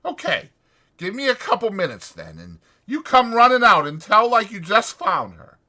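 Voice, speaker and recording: A man's voice: high voice, huge pitch swings, normal speed, sharp tone, voice pushed up, exaggerated voice. A man voicing a weary, resigned but protective ranch worker in an audiobook, with blunt, ungrammatical rural working-man speech. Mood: firm urgency. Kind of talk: dramatized audiobook character voice.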